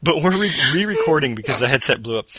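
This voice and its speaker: A man's voice: a little high, sing-song, fast, noisy background. A man hosting a casual gaming podcast from home, rambling with fillers and restarts into a headset mic. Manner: rambling, self-deprecating, many fillers and restarts. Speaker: podcast host. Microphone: borrowed headset mic off to the side.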